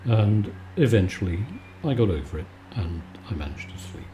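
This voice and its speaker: A man giving a calm, soothing, conversational bedtime chat in a relaxed ASMR style. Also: deep voice, normal speed, background noise. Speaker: video maker and host.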